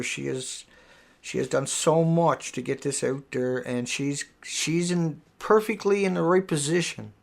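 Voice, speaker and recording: Gravelly man rambling on a live stream. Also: on the high side. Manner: rambling, emphatic, repeats words. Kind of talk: casual live stream monologue.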